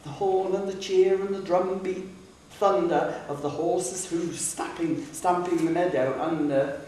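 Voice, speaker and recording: A woman giving a dramatic recital of narrative poetry in a hall, with measured, deliberate phrasing. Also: fairly low pitch, fairly even intonation, warm tone. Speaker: poetry reader. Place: public hall. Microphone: distant microphone. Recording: very echoey hall, distant mic, faint hiss.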